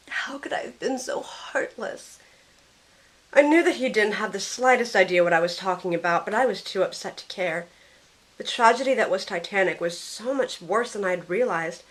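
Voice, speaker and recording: A woman's voice: medium pitch, natural voice, rough recording. A woman playing a grieving young female survivor, narrating her story earnestly in an amateur audio drama. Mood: tearful, hushed. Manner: earnest storytelling, tearful in dialogue.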